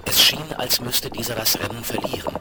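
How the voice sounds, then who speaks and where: A man's voice: mid-range voice, normal speed. A man narrating a Western audio drama in a dramatic, scripted storytelling style. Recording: very noisy 1970s vinyl rip.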